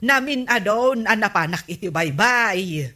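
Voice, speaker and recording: Exasperated wife, comic radio drama, sharp bright voice, unhurried scolding delivery. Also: female voice, medium pitch, lively intonation. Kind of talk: Ilocano radio comedy drama acting.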